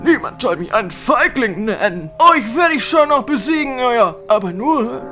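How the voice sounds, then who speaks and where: A greedy old villain of a giant in a fairy-tale radio play. The voice is high and piercing, blustering one moment and whining the next. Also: female voice, lively intonation, normal speed, a bit bright, soft background music. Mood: menacing, blustering. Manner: blustering, colloquial, whining when cornered. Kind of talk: fairy-tale audio drama acting.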